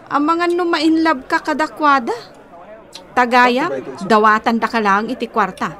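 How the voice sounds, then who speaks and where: A woman's voice: high voice, steady tone, a little slow, sharp tone. A woman playing a protective, skeptical older-sister figure, bossy and emphatic, in an Ilocano radio drama. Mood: wary, advising. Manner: scolding, emphatic.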